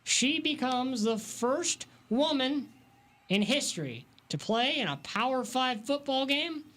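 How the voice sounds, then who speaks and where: A man's voice: extremely high pitch, animated, takes it slowly, fairly bright tone. Guy doing sports reporting and commentary, punchy announcer voice.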